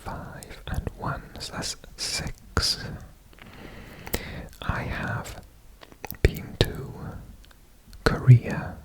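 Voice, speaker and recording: A man from Wales, whispering a quiz about himself for an ASMR video, repeating single words softly over and over. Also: drawn-out words, long pauses, rough recording. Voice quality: whispered throughout. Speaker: ASMR creator.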